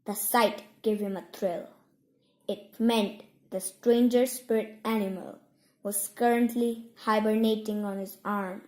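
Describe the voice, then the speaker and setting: A woman reading a story aloud as scripted narration, measured, in short separated phrases. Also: mid-range voice, steady tone, a little mellow. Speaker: audiobook reader. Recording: roomy, echoing home recording.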